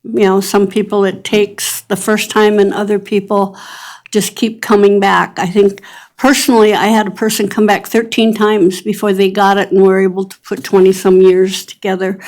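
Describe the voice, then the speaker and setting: A woman's voice: medium pitch, darkish tone. A woman testifying at a public board meeting, explaining her treatment center's services in an earnest, plain-spoken way. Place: government board meeting chamber.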